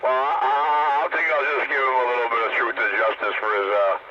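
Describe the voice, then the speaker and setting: A man's voice: medium pitch, level tone, a little fast. A brash, slangy man, bantering casually as a CB radio operator over a radio link. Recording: noisy muffled CB radio transmission.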